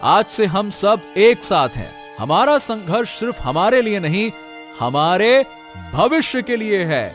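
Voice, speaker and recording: A man plays a determined village leader in a cartoon story, speaking high and with a very lively, swinging pitch. His delivery is earnest and rousing, and faint music plays beneath. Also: measured pace, full character voice.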